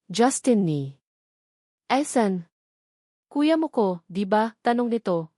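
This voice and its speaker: Woman reading a love story, steady voice, medium pitch, dry close sound. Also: no echo. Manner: steady storytelling, mixing in English phrases. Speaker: narrator. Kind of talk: scripted romance story narration.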